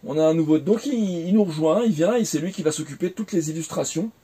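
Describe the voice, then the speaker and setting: A man's voice: on the high side, fast talker, a crowd in the background. A French man in his forties, recounting his career in a casual interview, hesitant with many fillers and restarts. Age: 40s. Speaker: interviewee, former game developer.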